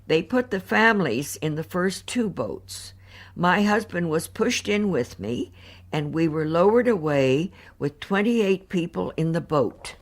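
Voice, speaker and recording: An actress playing a married woman survivor, giving composed, earnest testimony in a measured, formal, precise way. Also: low voice, full character voice. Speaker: actor reading witness testimony. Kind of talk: dramatised reading of hearing testimony.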